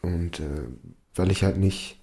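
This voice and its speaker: Man, Portuguese first language; very low, flat delivery; halting, casual. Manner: hesitant, restarts a lot. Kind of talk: casual chat.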